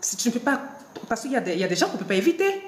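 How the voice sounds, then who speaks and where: A woman's voice: a little high, big pitch swings, racing, reverberant. A woman preaching a devotional sermon with a cold, laughing often and restarting her sentences. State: has a cold.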